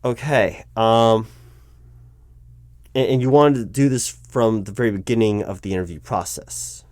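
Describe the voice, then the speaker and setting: A man's voice: fairly low pitch, measured pace, darkish tone, no room sound. A man playing a flustered, awkwardly polite recruiting manager in a one-sided interview roleplay, speaking hesitantly and often repeating his words.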